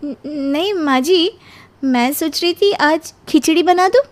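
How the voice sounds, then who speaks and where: Woman as young bride, very high voice, worn out, aggrieved, plaintive, cartoon story melodrama. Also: slow pace. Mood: meek. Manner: plaintive, complaining. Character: exhausted, put-upon young newlywed bride, aggrieved and tearful.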